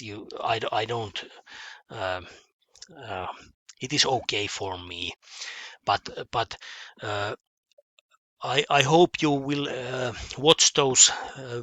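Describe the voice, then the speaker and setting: A man with a Finnish accent, a math teacher addressing his students in a halting way, with many fillers and repeated words. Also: medium pitch, pushed and bright, no echo. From Finland. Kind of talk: spoken lecture to students.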